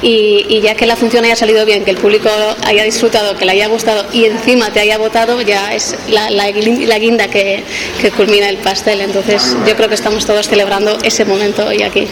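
A woman from the Basque Country speaking casually and haltingly as an award winner, recorded at an event. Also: medium pitch, little pitch movement. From Basque Country, Spain. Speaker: award-winning theatre artist. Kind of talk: spontaneous casual remarks to press. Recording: noisy on-location event recording.

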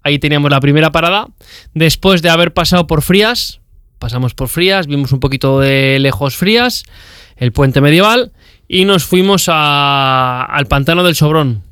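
A talkative man, guesting on a radio show to talk about long bike rides. His voice sits a bit high and comes across smooth and resonant. The studio sound is dry and close. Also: moderate pace, no room sound. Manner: chatty, animated, retells dialogue. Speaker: cycling guest. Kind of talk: radio interview, storytelling.

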